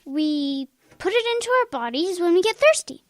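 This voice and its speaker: A woman's voice: very high voice, sing-song, normal speed, heavy background noise. A woman playing a young schoolgirl in a children's radio drama, helpful, frank and plain-spoken in a childlike way. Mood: helpful, unsure.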